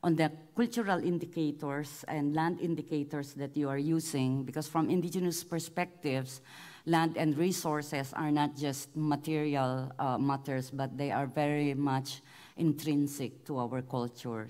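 A woman moderating a conference panel, introducing a speaker with many halting restarts. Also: low voice, darkish tone.

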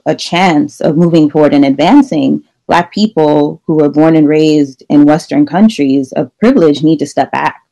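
Panelist: a woman on a video call with a gravelly, mellow voice, earnest and methodical. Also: deep voice, rough recording. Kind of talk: unscripted panel discussion.

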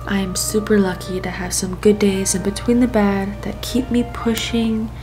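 A woman's voice: medium pitch, level tone, normal speed, poor audio. A young woman narrating her vlog in a casual, candid, upbeat way. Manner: upbeat, open and candid about hardship. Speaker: vlogger. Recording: voice-over over clear background music.

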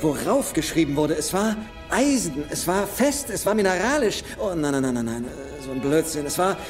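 A man acting in a film dub as a brainy, principled treasure hunter who thinks aloud. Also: fairly high pitch. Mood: excited deduction. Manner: thinking aloud, halting then excited. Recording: long hall reverb under film music.

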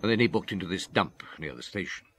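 Guilt-ridden radio-drama detective: low, expressive, reflective, dry recording. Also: male voice, fast talker, breathy edge, dry recording. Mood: haunted, reflective. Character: brooding, guilt-ridden police detective, world-weary middle-aged man.

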